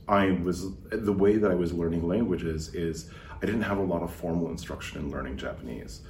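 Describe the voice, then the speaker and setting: American guy on a podcast, really low voice, kinda far from the mic in an echoey room, chatty with lots of ums. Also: fairly quick, a little hiss or hum. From United States. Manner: relaxed storytelling with fillers. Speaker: podcast guest. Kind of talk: casual conversation.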